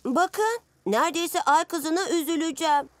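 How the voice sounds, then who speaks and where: A woman's voice: very high voice, a little slow, dry recording. A woman voicing a good-natured, playful six-year-old boy superhero, eager and earnest, in a children's cartoon. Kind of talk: animated children's cartoon dub. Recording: music behind the voice.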